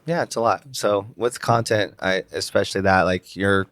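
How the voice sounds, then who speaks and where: A young man co-hosting a podcast in casual chat, talking in a halting, reflective way that circles back on thoughts. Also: on the low side, fairly bright tone, no room sound, studio quality. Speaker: podcast co-host.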